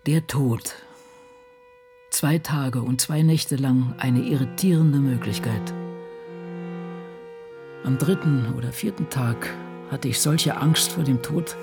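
Ailing elderly female writer, creaky voice, brooding and blunt, intimate radio monologue over clear music. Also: a bass voice, takes it slowly, long pauses, exaggerated voice. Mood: grave, defiant.

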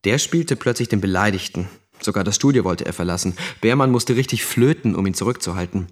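An actor playing an earnest, critical radio trainee, reflective and sardonic in an audio drama monologue. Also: male voice, on the low side, a little fast, close to an everyday voice. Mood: keen, sardonic. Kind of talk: narrated monologue in a German audio play.

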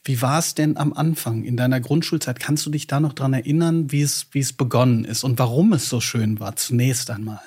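A raspy-edged man from the Ruhr, the host you'd hear on a relaxed interview podcast, meandering his way towards each question. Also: medium pitch, fairly quick, few pauses. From Ruhr area, Germany. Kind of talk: casual conversational interviewing.

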